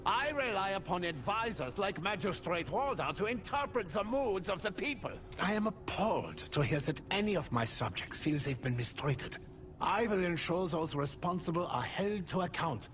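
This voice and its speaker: An actor playing a pompous, self-serving king, haughty and quick to anger, in theatrical video game drama. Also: high-pitched, very animated, fairly bright tone. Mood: indignant. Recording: music behind the voice.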